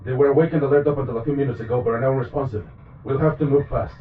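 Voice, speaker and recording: A man playing a calm, caring field medic who reassures a patient in an intimate audio roleplay. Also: mid-range voice, very fast, phone-quality sound, slight background noise, close to an everyday voice. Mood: urgent, alarmed. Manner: gentle, reassuring, matter-of-fact, addressing a patient directly.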